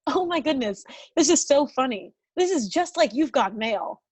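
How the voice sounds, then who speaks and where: A very high, animated female voice, played by an actress, close and dry. She is a perky, sincere sitcom matchmaker who chatters eagerly. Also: a little slow, no room sound. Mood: chatty, hopeful. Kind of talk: sitcom table read acting.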